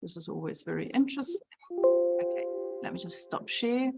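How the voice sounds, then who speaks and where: A woman's voice: lowish voice, lively intonation, normal speed, gravelly, loud music behind the voice. Chatty woman presenter in a lecture venue. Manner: chatty, laughing, repeats words while sorting out the screen. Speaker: science centre presenter. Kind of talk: informal talk with tech troubles. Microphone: room mic, sometimes off mic.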